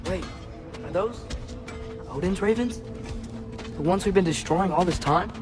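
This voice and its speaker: Higher-voiced curious teenage boy. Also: unhurried, over music. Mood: alarmed curiosity. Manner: casual, youthful phrasing. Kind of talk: video game voice acting.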